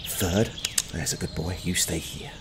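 A man's voice: low-pitched, steady tone, a little slow, background noise, pitched below the performer's own voice. A man playing a sardonic young fallen-noble adventurer, telling his story in wry, dramatic audio drama narration. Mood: muttering, hesitant.

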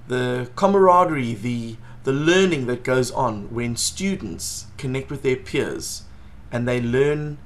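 A middle-aged man with a South African accent, an educator giving an earnest, steady talk to a webcam in a small home office. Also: medium pitch. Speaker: educator presenting. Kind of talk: scripted talk to camera.

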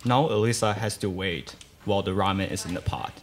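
A man's voice: low voice, brisk. A young man reading scripted narration for a student radio play into a studio microphone. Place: small studio, indoors. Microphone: boom stand microphone, close. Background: guitar music.

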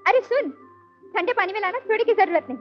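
A teasing, high-voiced rich young woman. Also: quick pace. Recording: dull old film soundtrack with faint music.

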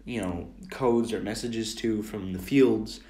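A man's voice: fairly low pitch, a little slow, warm tone. A young American man giving rambling, informal commentary over a screen recording in an earnest way. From Minnesota, United States. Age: teenager or young adult. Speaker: amateur video maker. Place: room at home. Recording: roomy, echoey home recording.

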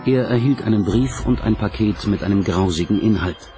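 A man's voice: on the low side, moderate pace. A man narrating a documentary from a script. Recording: music under the voice. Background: background music.

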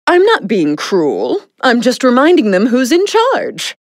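A woman's voice: fairly high pitch, wildly swooping pitch, a little slow, dry recording, studio quality, heavily acted. A woman voicing a vain, imperious yet playful young sun goddess for a video game, with a pompous, theatrical, condescending delivery. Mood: haughty, smug. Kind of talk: video game character voice lines.

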